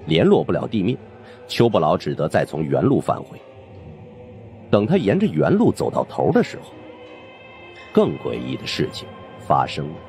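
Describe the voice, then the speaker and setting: A man's voice: sits low, darkish tone. A man narrating a scripted suspense story in a dramatic storytelling manner. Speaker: audiobook narrator.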